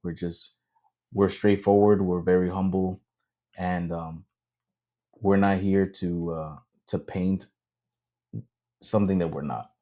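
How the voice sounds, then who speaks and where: A man's voice: low-pitched, fairly even intonation, takes it slowly, long pauses, warm tone. A man co-hosting a casual podcast, talking in a hesitant way with many ums and slipping into Spanish now and then. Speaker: podcast co-host. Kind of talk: casual chat.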